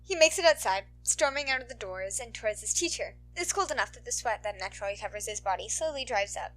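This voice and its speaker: Woman, amateur audiobook-style reader, higher-pitched and very bright, expressive storytelling. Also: fast. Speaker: amateur fan fiction reader. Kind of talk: expressive story narration with casual swearing.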